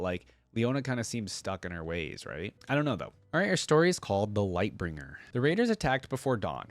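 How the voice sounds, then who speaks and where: A man's voice: lowish voice, no room sound. A man hosting a reaction video, reading a story aloud and commenting in a casual, slangy way.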